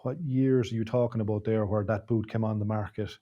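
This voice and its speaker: A man's voice: on the low side, steady tone, fairly quick, muffled recording. An Irish man hosting an interview, probing and rephrasing his questions as he goes. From Ireland. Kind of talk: conversational interview.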